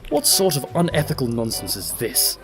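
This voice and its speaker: A man's voice: mid-range voice, sing-song, normal speed, over music. Man acting a pompous, lilting noble schemer. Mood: indignant. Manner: affected, pompous. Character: vain, theatrical, scheming nobleman swindler. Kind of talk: audio drama acting.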